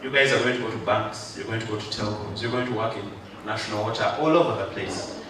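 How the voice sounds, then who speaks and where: A man's voice: fairly low pitch, fast. A man delivering a motivational graduation speech to an audience, rousing and joking, drawing responses from the crowd. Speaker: guest speaker. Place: large hall at a ceremony. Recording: echoey hall, mic far off, background noise.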